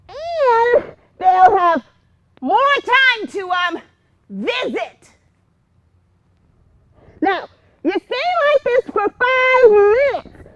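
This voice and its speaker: A woman's voice: very high-pitched, a bit bright, full character voice. An actress playing a blunt, meddling, eccentric mother in a staged comedy, nosy and coyly hesitant. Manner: nosy, coy euphemisms with hesitations. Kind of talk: staged reading of a comic play.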